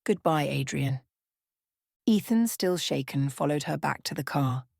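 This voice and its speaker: Lowish-pitched woman narrating a bedtime story. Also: animated, moderate pace, creaky, a little mellow, dry recording, clean studio sound.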